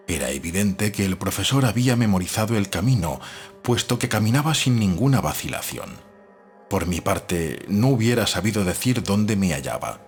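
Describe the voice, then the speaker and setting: A man reading a gothic horror audiobook as a scripted, dramatic narration. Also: sits low. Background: faint music.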